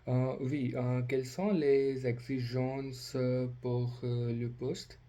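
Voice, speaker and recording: A man with a non-native accent practising a polite role-play inquiry as a language learner, speaking haltingly with many hesitations. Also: mid-range voice, flat delivery, dark tone. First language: not French.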